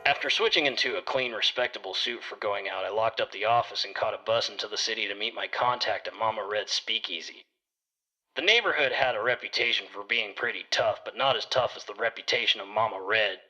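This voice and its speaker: A man's voice: lowish voice, fairly quick, bright, forceful tone, dull audio. A man narrating a scripted first-person detective story in a hard-boiled storytelling manner. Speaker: narrator playing a private detective.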